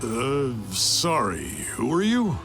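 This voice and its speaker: Touchy game townsman, mid voice, swings up and down, loud music behind. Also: mid-range voice, big pitch swings, slow. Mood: puzzled. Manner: indignant, dismissive. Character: wary, easily offended healthy townsman. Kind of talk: video game voice acting.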